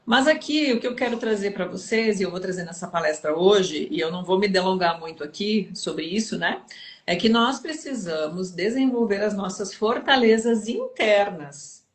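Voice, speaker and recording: Mulher gaúcha explicando com calma. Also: female voice, medium pitch, moderate pace. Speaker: guest speaker. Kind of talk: explanatory conversation. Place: home kitchen livestream. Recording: roomy echo.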